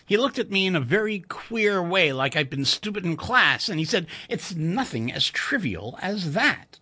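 A man voicing a nervous, excitable male computer engineer who stammers and talks urgently. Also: normal speed, husky, full character voice. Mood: amused. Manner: high-strung, stammering, urgent.

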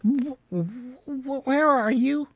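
Male reader as plaintive child ghost girl, raised pitch, timid, halting, childlike, storybook character, dry close sound. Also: fairly bright tone, dry recording, dull audio. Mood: frightened. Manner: childlike, halting, stammering when upset.

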